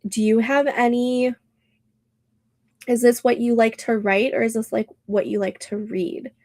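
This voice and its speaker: Slow-talking congested woman streamer. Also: fairly high pitch, steady tone, darkish tone, no echo, clean studio sound. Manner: chatty, laughs often, reads chat aloud. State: congested, nasal. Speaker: livestream host. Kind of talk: casual livestream chat reading comments.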